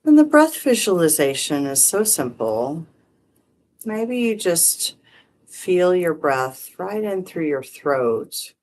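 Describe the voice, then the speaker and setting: A meditation teacher guiding breath and visualization in short, gently permissive phrases with long pauses. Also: mid-range voice, big pitch swings. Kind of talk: guided meditation, unhurried instruction.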